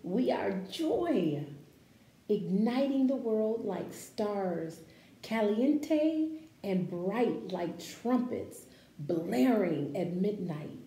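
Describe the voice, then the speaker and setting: Slow, mellow woman reading to children. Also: mid-range voice. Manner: slow, expressive, deliberate reading with questions to young listeners. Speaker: children's story time reader at a library. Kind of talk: read-aloud picture book with casual asides to children. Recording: roomy echo, faint background noise.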